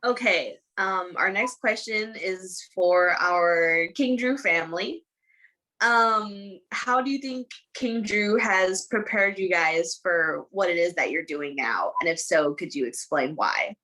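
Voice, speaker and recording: Unhurried bright-voiced woman moderator. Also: on the low side, rough recording. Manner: measured, reading out questions. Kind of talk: panel moderating.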